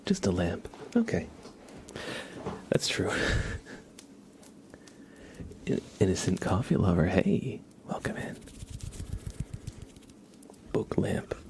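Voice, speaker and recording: A man hosting an ASMR livestream, chatting casually in a breathy whisper and soft voice, with hesitant, bantering asides. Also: sits low, sing-song, drawn-out words, long pauses.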